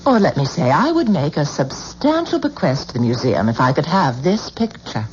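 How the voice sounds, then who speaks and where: An actress playing a determined, frightened wife in a 1970s radio drama, urgent and pressing. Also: female voice, deep voice, sing-song, normal speed. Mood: coaxing. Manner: urgent and anxious, pressing hard. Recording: dull old radio broadcast with faint hiss.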